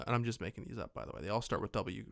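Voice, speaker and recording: A man's voice: fairly low pitch, lively intonation, very fast, gravelly, dry recording, studio quality. A man co-hosting a podcast, chatting casually about films in a rambling, jokey way with some swearing. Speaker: podcast co-host. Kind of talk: casual film discussion chat.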